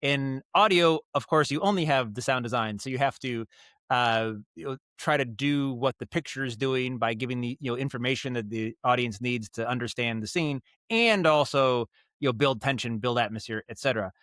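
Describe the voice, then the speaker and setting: A man's voice: mid-range voice, moderate pace, a bit bright, dry recording. A man talking casually as an interview guest, explaining his craft in a thoughtful, rambling way with frequent self-corrections. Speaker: podcast guest, audio storyteller and director.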